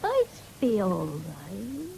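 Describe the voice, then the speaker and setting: A woman's voice: mid-range voice, huge pitch swings, takes it slowly, gravelly, mellow, a little hiss or hum, full character voice. An actress playing an ailing, stubborn, fretful country mother with a rural English accent in a 1970s radio drama. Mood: pleading.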